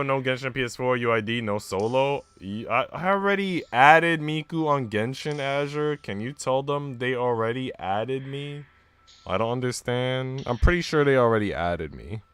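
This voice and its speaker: A man's voice: mid-range voice, fairly bright tone, clear, resonant tone. A man live streaming in an informal, slangy way, moving between casual chat and reading aloud.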